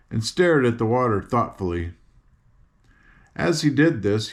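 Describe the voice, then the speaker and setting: An older man reading a children's book aloud in a gentle, slow read-aloud manner. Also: on the low side. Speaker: storyteller.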